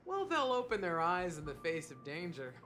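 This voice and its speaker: An actress playing a wistful, hospitable bartender in a video game, with a theatrical, sentimental delivery. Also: female voice, lowish voice, big pitch swings, normal speed, fairly bright tone. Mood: wry, knowing. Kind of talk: voiced video game dialogue. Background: background music.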